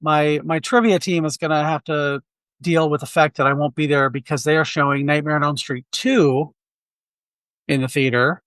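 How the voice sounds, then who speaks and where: A man's voice: a little high, steady tone, moderate pace, a bit bright, clean tone, no room sound, studio quality. A man co-hosting a film podcast, chatting candidly in a rambling way with many restarts. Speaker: podcast co-host. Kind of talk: casual film chat. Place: Quad City area.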